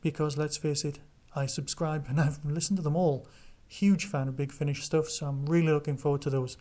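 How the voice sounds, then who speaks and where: A man's voice: on the high side, steady tone, a little fast, dark tone. A man hosting a podcast solo, chatting casually through listener news and reviews. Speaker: podcast host.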